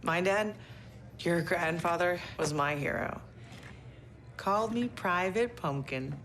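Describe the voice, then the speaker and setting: Tough young female officer, game cutscene, dry and deliberate, lowish, faint noise. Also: a bit bright. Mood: fond. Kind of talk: video game cutscene acting.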